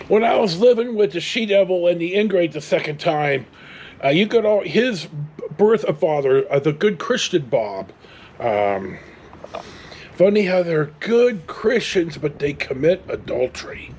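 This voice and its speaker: Middle-aged man, American accent, raspy, very lively intonation, casual rambling storytelling, blunt and salty. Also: sits high. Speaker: storyteller vlogger.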